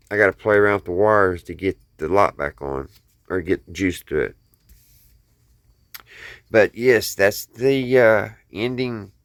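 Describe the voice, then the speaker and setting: A man's voice: on the low side, a bit bright, dry recording. An American man talking casually to viewers in a folksy, rambling show-and-tell, thinking aloud as he goes. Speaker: hobbyist video host.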